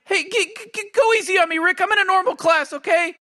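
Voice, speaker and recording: A man's voice: very high-pitched, fast talker, sharp tone. A man voicing a nervous, timid teenage boy sidekick who stammers and whines. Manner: stammering, anxious, whiny. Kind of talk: comedic commentary on game characters.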